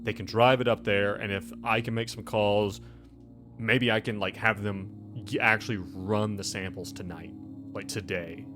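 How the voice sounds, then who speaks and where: A man's voice: lowish voice, fairly even intonation, over music, natural voice. Man playing a hesitant, harried official. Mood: hesitant, obliging. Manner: hesitant, halting. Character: harried official, reluctantly helpful, making excuses.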